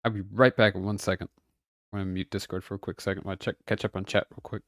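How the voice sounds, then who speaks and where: A man streaming a game, chatting casually with friends, laughing often and greeting viewers brightly. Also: low-pitched, level tone, racing, no room sound, studio quality. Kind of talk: casual livestream chat.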